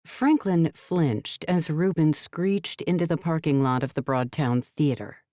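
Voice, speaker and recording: Low-voiced woman, scripted audiobook narration, dry close sound. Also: measured pace, warm tone, no room sound.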